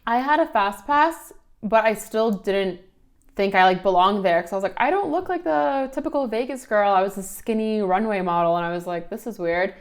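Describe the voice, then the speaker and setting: A woman's voice: mid-range voice, lively intonation, moderate pace. A young woman from Ukraine, raised in Philadelphia, telling anecdotes casually and playfully as an interview guest. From Ukraine, raised in Philadelphia from age seven. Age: young adult. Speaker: interview guest, bottle service worker and model. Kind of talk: casual chat, anecdotal storytelling.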